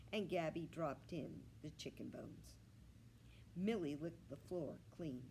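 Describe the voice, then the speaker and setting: A woman's voice: lowish voice, a little mellow, a little hiss or hum, poor audio. A woman reading a children's storybook aloud as a storyteller. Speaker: library staff storyteller.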